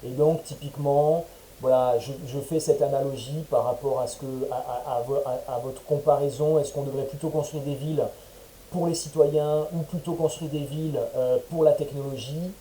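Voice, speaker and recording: A French man with a very dark, mellow voice speaks slowly and thoughtfully, stopping often to search for words and starting his sentences over. The call is recorded in an echoey room with a faint hiss underneath. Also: mid-range voice, steady tone. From mainland France. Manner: hesitant, many fillers and restarts. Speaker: interviewee, smart-city entrepreneur. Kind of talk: casual interview over a call.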